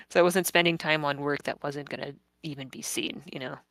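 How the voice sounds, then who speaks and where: A woman's voice: low-pitched, steady tone, moderate pace, a bit bright, no room sound. A woman giving rambling, self-deprecating, giggly commentary on her own creative work in a casual live chat. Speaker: comic creator giving behind-the-scenes commentary.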